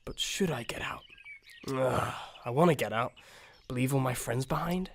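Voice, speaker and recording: A man's voice: medium pitch, moderate pace, vocal fry. A man playing a mopey, anxious horse who dreams of music, rambling and sarcastic in an audio story. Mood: hesitant, excited. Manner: sighing, rambling self-talk, sarcastic. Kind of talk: cartoon-like audio story acting.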